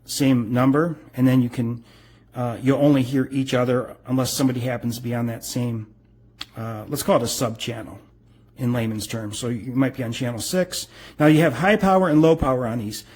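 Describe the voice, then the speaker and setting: A man's voice: medium pitch, steady tone, fairly quick, darkish tone. A middle-aged man giving a casual, unscripted product review in an informal, rambling, explanatory way.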